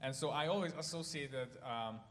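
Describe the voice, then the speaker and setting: A man's voice: fairly high pitch, normal speed, fairly bright tone, big-room sound. A man with a Hungarian accent, raised in Ukraine, telling his story at church, hesitant with many fillers. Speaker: guest speaker. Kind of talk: church testimony talk. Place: church hall.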